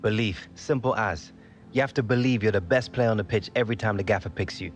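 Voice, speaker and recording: An actor playing a confident, eager young football player in a game cutscene, talking in an informal, matey way. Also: male voice, on the low side, fast, lightly acted. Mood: self-assured. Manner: informal, matey, self-assured. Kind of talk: video game cutscene acting.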